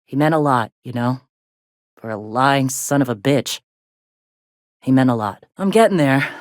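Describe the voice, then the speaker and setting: A woman voicing a gruff, plainspoken middle-aged frontier medic in a video game, with a blunt, folksy way of talking. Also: a bass voice, animated, unhurried, no room sound, studio quality. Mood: weary, candid. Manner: folksy, blunt, drawling slang with swearing. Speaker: video game character, medic and deputy leader.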